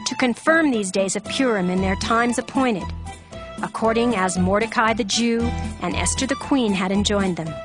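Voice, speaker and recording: A woman's voice: mid-range voice, normal speed. A woman narrating a dramatized scripture reading in a formal, solemn storytelling manner. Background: background music.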